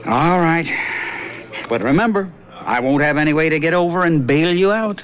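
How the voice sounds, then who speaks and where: A man's voice: on the high side, moderate pace, a crowd in the background, natural voice. An actor playing a skeptical man in a radio drama who keeps making dry, teasing remarks and wanting a drink. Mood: wry. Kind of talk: 1970s radio drama acting. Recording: lo-fi old radio broadcast, faint hiss.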